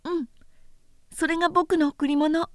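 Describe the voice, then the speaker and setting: A woman voicing a small boy prince from a storybook, gentle, wistful and tender, with soft childlike phrasing. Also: extremely high pitch, flat delivery, a little slow, no echo, studio quality, pitched above the performer's own voice. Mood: tender, earnest. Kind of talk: storybook character voice in a literary recitation.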